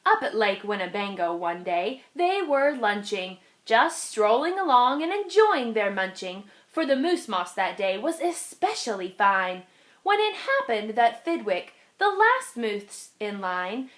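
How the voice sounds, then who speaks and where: Slow, very lively woman reading aloud. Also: fairly high pitch. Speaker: storybook reader. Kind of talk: expressive children's read-aloud of rhyming verse.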